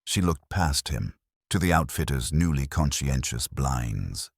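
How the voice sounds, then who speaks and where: A man reading a literary detective story as narrator, with a measured, dry and formal delivery. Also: a bass voice, animated, gravelly, dry recording. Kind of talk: scripted literary audiobook narration.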